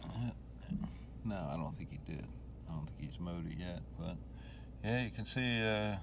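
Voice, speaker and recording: A man with a thick Philadelphia accent and a very deep, low-pitched voice talks through a lawn job in an easygoing, plain-spoken way. He is recorded outdoors near his truck, with a faint hiss behind him. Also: expressive, moderate pace. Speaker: home and lawn care show host. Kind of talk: casual chat to camera.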